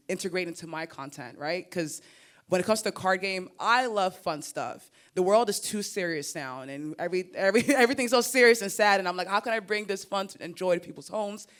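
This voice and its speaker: Young woman, nigerian-american accent, panel talk, animated and laughing a lot. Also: lowish voice, a little fast. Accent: Nigerian mixed with American. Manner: animated and expressive, laughs and repeats words. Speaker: content creator on a panel. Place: summit panel stage.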